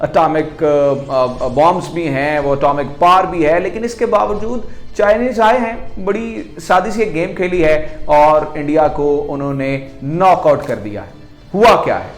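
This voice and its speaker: A man's voice: on the high side, unhurried, faint music behind. A man with a Pakistani accent hosts a conversational political commentary, explaining emphatically and answering his own rhetorical questions. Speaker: host of a news commentary video channel. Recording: echoey, reverberant room sound.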